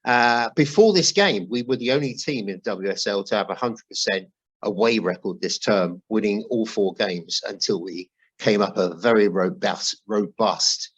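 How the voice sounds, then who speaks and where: A man's voice: fairly low pitch, moderate pace, breathy edge, rough recording. A man hosting a football podcast in a chatty way with wry asides, reading out notes and emails. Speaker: podcast host.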